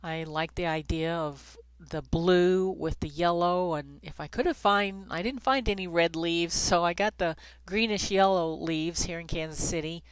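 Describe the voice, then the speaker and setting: A middle-aged woman with a stuffy, congested nose giving a conversational photography software tutorial, with frequent ums and restarts. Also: on the low side, measured pace, few pauses, clean tone, no echo, rough recording. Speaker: photography instructor. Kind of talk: screen-recorded software tutorial. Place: Kansas City, Missouri, USA.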